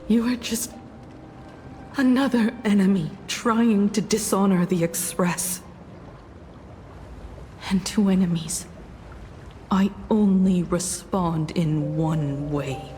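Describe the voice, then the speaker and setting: A resolute video game heroine, facing down her enemy. Her voice sits a little low, and she speaks slowly and firmly, weighing each phrase with stern confidence. Prominent music runs underneath. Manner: deliberate, firm, confrontational, measured. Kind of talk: dramatic video game voice acting. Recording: loud game music behind. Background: game soundtrack music.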